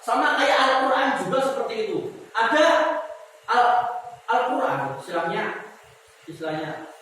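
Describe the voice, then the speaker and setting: A fairly high-voiced man teaches a religious class and quizzes his listeners as he goes. He sounds far from the mic, and his voice rings through a big echoing mosque hall with a long reverb. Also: lively intonation, moderate pace, a bit bright, slight background noise. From Indonesia. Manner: explains step by step, repeats key words, asks the class questions. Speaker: Quran recitation teacher. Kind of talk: mosque lesson on tajwid.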